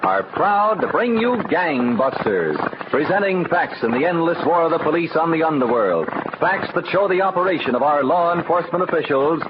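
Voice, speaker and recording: A man's voice: on the high side, lively intonation, moderate pace, few pauses. A man announcing a scripted program in an emphatic, declamatory 1940s radio style. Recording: noisy, muffled old radio recording.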